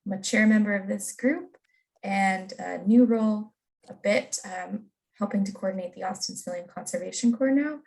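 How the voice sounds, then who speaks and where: A woman's voice: medium pitch, moderate pace, creaky, a little mellow, recorded from a distance. A woman in her thirties introducing herself in an easygoing way, with some hesitant fillers. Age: 30s. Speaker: program coordinator. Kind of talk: casual self-introduction. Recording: voice set back from the mic.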